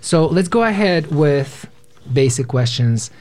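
A man's voice: a little high, expressive, measured pace, darkish tone. A man in his twenties hosting a live radio panel from a studio, speaking to listeners and guests. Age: 20s. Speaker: radio host, panel moderator.

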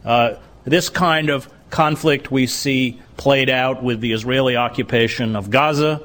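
Halting middle-aged man giving a lecture. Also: medium pitch, a bit bright. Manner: deliberate, halting with many "uh"s. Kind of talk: bookstore lecture.

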